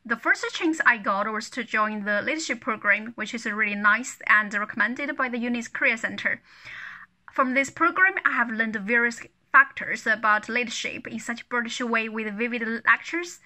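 A young woman with a very bright, slightly high voice. She speaks in an earnest, somewhat recited way, like a prepared testimonial. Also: moderate pace. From China. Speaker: recent graduate.

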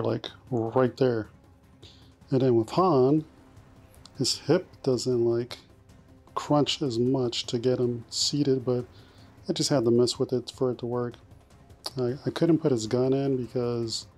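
An enthusiastic man in his forties talks casually through an unboxing, exclaiming over every detail. His voice is a little husky and sits at an average pitch. He is recorded on a camera mic in a room full of display shelves, with faint music in the background. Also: a little mellow. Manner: enthusiastic, exclaims and uses mild profanity. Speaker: collectibles vlogger.

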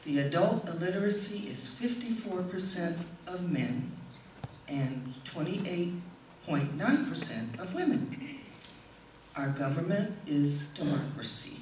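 A middle-aged woman giving a prepared testimonial speech to an audience in a measured, deliberate way. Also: on the low side, sing-song, a little mellow. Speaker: speaker giving a presentation. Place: indoor stage in a hall, before an audience. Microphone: stand microphone, heard from a distant camera. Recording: echoey hall, distant camera, faint noise.

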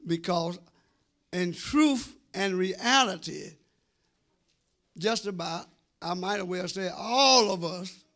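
Middle-aged American male preacher, gravel in the voice, bright forceful delivery, direct address. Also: high voice. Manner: emphatic, drawn-out phrasing, addresses congregation directly. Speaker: senior pastor preaching. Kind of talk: sermon. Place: church sanctuary before a congregation. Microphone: handheld microphone.

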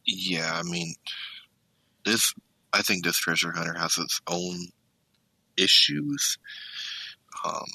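A man's voice: sits low, animated, slow pace, pauses often, bright, forceful tone, husky, no echo, low-quality recording. A man co-hosting a podcast, chatting casually in a laid-back way with short agreeing replies. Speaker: podcast co-host. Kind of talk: casual gaming news chat.

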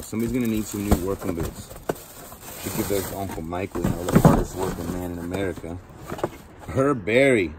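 A man chatting casually to viewers while unboxing shoes outdoors, filming first-person. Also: on the low side. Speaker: storage auction vlogger. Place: outdoors by a cardboard box. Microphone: handheld camera, first-person view. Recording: loud outdoor background noise.

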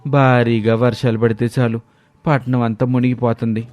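A man's voice: mid-range voice, level tone, normal speed, a little mellow, soft background music, close to an everyday voice. An actor playing a deferential, persuasive townsman in a cartoon moral story. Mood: polite, coaxing.

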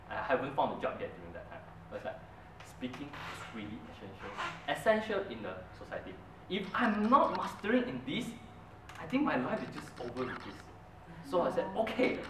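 A young man whose first language is Mandarin, giving an earnest prepared speech at a club meeting in a medium-sized indoor room, picked up by a distant camera. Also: medium pitch. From Brunei. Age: young adult. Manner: earnest storytelling, with an animated, emphatic delivery. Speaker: club speaker. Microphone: far camera. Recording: roomy, distant, noisy room recording.

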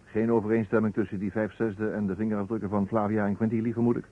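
An actor playing a shrewd, unhurried police chief detective in an old radio drama, measured and probing. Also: male voice, on the low side, level tone, natural voice. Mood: musing, probing. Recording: muffled, narrow-band vintage radio recording.

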